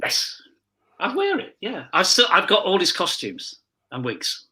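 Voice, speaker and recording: A man's voice: high voice, wildly swooping pitch, measured pace, pushed and bright. A man chatting casually on a remote call, rambling along with asides as a pantomime performer. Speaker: pantomime dame performer, panel guest. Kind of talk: casual chat over video call. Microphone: earpiece microphone on a remote call.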